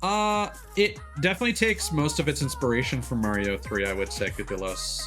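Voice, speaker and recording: A man giving casual, explanatory livestream commentary on a video game, thinking aloud with hesitant pauses. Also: mid-range voice, fairly bright tone. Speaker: video game live streamer. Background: music behind the voice.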